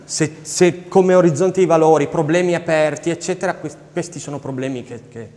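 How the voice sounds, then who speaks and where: Intense, halting man in a reverberant room. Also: a little high, a little mellow. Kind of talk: talk to students.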